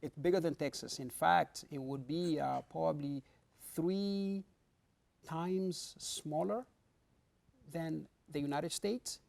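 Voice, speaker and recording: A man's voice: on the high side, fairly even intonation, slow, creaky, darkish tone. A middle-aged man from the Democratic Republic of the Congo, a pastor, answering studio interview questions in an earnest, explanatory way, with many fillers and restarts.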